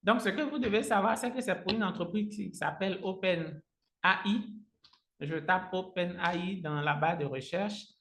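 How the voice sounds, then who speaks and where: A man whose native language is Agni, teaching a live software tutorial step by step as an online trainer, often repeating his phrases. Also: high voice, moderate pace. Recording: roomy, echoing sound.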